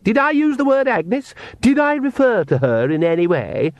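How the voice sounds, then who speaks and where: Man putting on a whining, high-pitched young vampire girl. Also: sing-song, slow pace, darkish tone, no echo, full character voice. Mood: scornful, aggrieved. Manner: whining, contemptuous, demanding. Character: petulant, sneering young female vampire, spoilt daughter.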